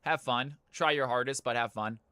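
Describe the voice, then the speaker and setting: Bright, crisp-voiced male streamer. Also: mid-range voice, moderate pace, no echo, studio quality. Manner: hype announcer bursts between easygoing chat. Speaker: streamer hosting viewer games. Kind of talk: casual live-stream chat.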